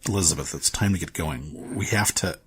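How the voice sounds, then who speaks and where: A man playing a gruff, dryly taken-aback male traveler in an audio drama, with a raspy voice. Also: low-pitched, fairly quick, a bit bright, soft background music, full character voice. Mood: commanding.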